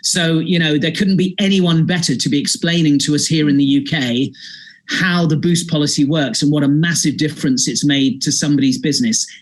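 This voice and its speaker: A man's voice: a little high, steady tone, brisk. A man hosting an online event and interviewing a guest over a video call, chatty and effusive. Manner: chatty, effusive, many fillers. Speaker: host and interviewer.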